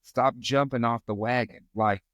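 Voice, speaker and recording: A man's voice: medium pitch, steady tone, unhurried, fairly bright tone, no room sound. Emphatic male podcast guest, dry sound. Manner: emphatic, preachy, repeats catchphrases. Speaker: guest, real estate investor. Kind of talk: casual podcast conversation.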